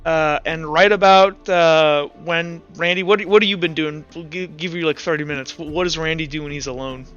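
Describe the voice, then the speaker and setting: A man working as game master, improvising tabletop narration in an informal, halting way. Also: on the high side, steady tone, a bit bright, clear, resonant tone, highs cut off, faint music behind. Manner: informal, halting, filler-heavy.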